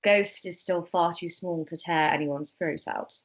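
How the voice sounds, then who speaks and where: A woman's voice: fairly low pitch, unhurried, poor audio. A woman reading scripted narration and stage directions in an amateur table read.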